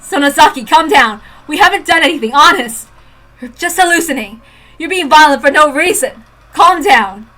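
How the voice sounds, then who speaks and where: A woman voicing a cold, calm male officer who gives curt, brisk orders. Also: high-pitched, pitched above the performer's own voice, poor audio. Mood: commanding.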